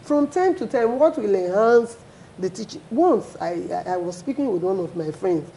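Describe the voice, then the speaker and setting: A Nigerian woman speaks as a guest in a studio discussion. Her voice is mellow, and her pitch rises and falls a great deal. She argues earnestly and backs up her points with stories. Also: animated, normal speed, low-quality recording. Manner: earnest, emphatic arguing with anecdotes.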